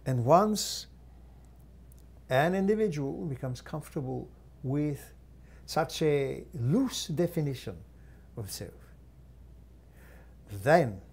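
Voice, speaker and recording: A man channeling a guide entity, teaching in a measured, formal way with long pauses and thoughtful hums. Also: fairly high pitch, darkish tone, husky. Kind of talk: slow deliberate channeled teaching.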